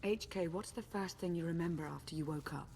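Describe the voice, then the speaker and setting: A calm, wary female agent speaks in a measured way. Her voice sits low, with a faint hiss behind. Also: creaky.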